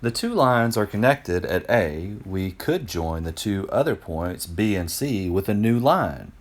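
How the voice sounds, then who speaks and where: A man's voice: on the low side, sing-song, a little slow. A man voicing an eager ancient Greek geometry teacher in a children's storybook, explaining patiently and with emphasis. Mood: pleased, instructive.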